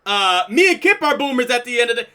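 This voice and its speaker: A young man streaming, reacting casually to a video, excitable and swearing freely. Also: extremely high pitch, quick pace, clean tone. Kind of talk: live reaction stream chat.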